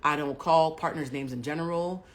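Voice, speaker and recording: A woman in her forties hosting a livestream, telling a personal story bluntly and with plenty of profanity, swinging between hushed asides and loud bursts. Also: low voice, normal speed. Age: 40s. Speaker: livestream host. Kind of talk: candid storytelling to a live audience.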